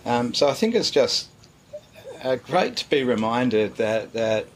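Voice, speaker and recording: A middle-aged man, a pastor, answering interview questions in a relaxed, hesitant way, with many fillers and pauses. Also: mid-range voice, a little hiss or hum.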